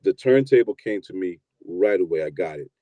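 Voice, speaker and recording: A man in his fifties from New York telling his story in a relaxed, rambling interview, often repeating words as he starts. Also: low-pitched, moderate pace, no echo, phone-quality sound. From New York, USA. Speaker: guest, producer and DJ.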